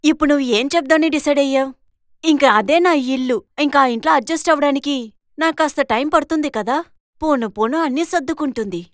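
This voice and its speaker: A high, quick female voice with a dry sound, playing a meek young bride acted by a woman. She is obedient and compliant, in cartoon moral-story style. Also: no room sound, close to an everyday voice. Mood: subdued. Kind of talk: animated moral-story voice acting.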